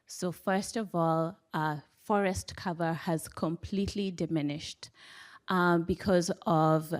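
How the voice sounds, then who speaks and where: A woman from western Kenya with a Kenyan accent, telling a personal story as a panelist in a measured way with pauses. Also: on the low side, fairly even intonation.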